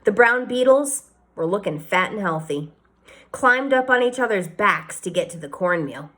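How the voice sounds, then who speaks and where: Expressive woman reading a children's novel. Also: mid-range voice, moderate pace. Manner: expressive storytelling, varied emphasis. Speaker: teacher reading aloud.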